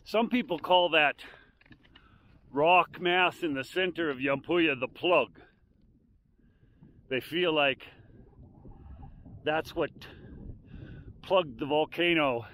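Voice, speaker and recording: Older guy slowly explaining the view on a hike, bit high voice, faint wind on the cam mic. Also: a bit bright. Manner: unhurried, explanatory, pointing out landmarks. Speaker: hiking vlogger. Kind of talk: casual outdoor narration. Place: windy volcanic ridge outdoors.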